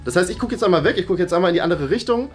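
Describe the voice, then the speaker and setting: A man's voice: sits high, lively intonation, rapid-fire, music in the background. A man in his thirties with a German accent, casually hosting and commenting on a guessing game in a room. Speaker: host and contestant of a guessing game show. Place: medium-sized indoor room.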